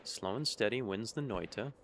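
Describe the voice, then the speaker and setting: A man's voice: lowish voice, moderate pace, a little hiss or hum. A young man with a Michigan accent, chatting casually with his stream chat while playing a game. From Michigan, USA. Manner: relaxed, musing, talks to chat between game moves.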